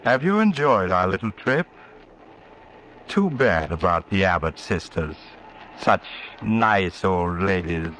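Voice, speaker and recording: Low, sly horror-radio storyteller. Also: male voice, expressive, slow pace, fairly bright tone, heavily acted. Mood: sly, mock-genial. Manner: sardonic, mock-genial. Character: the Mysterious Traveler, sly, macabre male train companion. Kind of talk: 1940s radio horror narration. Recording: noisy old-time radio recording.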